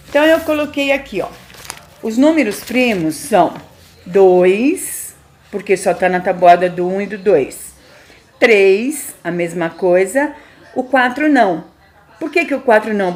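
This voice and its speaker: A woman teaching math in an unhurried, deliberate way. She poses questions to her class and answers them herself, patiently working through each step. Her voice sits at an ordinary middle pitch. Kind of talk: classroom lesson explaining step by step.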